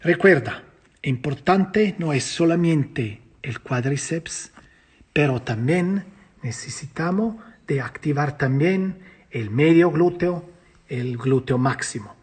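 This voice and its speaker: A man's voice: a little high, lively intonation, takes it slowly, slightly rough. A man teaching physiotherapy in Spanish, which is not his first language, who keeps asking and answering his own questions. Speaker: physiotherapy lecturer. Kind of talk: teaching a course, explaining slides.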